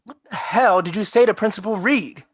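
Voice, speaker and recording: A man's voice: sits high, fairly quick, slight background noise, close to an everyday voice. A man plays a geeky teenage schoolboy in a comedy table read. He sounds aggrieved and indignant, complaining in an everyday conversational voice.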